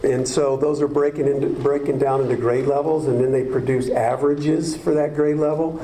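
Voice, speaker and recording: A man's voice: medium pitch, steady tone, normal speed, dark tone, slight background noise, poor audio. A man presenting district data to a school board, explaining at length with frequent fillers and restarts.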